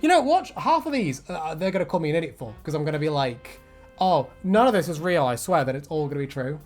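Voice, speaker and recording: A man's voice: fairly high pitch, very animated, fast, darkish tone. A man chatting casually and jokingly as a video host, rambling and swearing freely. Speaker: YouTube host giving reactions to fan predictions. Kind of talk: casual comedic chat. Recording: music playing behind. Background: background music.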